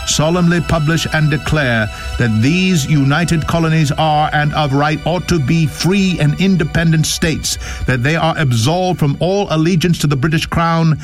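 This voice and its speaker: Solemn man reading gravely over prominent music. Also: on the high side. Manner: grave, deliberate, declamatory. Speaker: reader. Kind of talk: solemn dramatic reading of a historical document.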